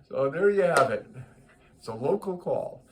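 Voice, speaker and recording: A man hosting a casual joke segment, speaking to listeners in a rambling, halting way and often repeating words as he goes. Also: a little high, slight background noise. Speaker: joke teller, host of a regular joke segment.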